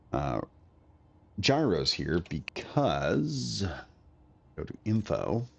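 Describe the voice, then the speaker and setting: Deep-voiced man with a cold. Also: vocal fry. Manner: rambling, chatty, self-correcting. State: recovering from a cold, coughing. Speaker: gaming video creator. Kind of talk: casual game build commentary.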